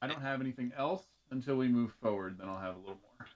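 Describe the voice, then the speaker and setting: A man's voice: medium pitch, quick pace, a little hiss or hum. A man chatting casually and jokingly as a podcast guest.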